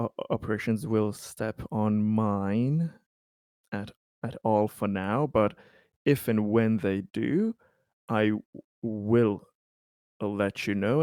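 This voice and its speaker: A man plays a cautious, diplomatic male colony businessman in a tabletop roleplay. The voice is fairly low and very unhurried, with a dry, close sound. He negotiates in a deliberate, formal manner, halting and repeating himself. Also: a little mellow, no echo.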